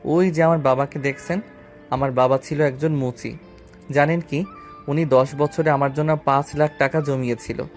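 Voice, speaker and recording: A man's voice: on the high side, steady tone, moderate pace, soft background music, lightly acted. A man acting a bitter young self-made businessman, a wounded lover, in a romantic audio story. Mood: bitter, hurt.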